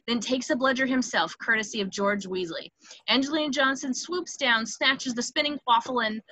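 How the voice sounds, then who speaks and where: Bright-voiced woman narrating a screenplay. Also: a little high, no echo, low-quality recording. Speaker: stage directions narrator.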